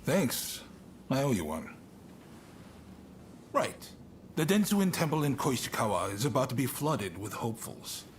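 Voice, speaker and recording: An actor playing a composed samurai-era leader who gives out missions, in scripted game cutscene style. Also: male voice, medium pitch, big pitch swings, measured pace, long pauses, a little hiss or hum. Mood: businesslike.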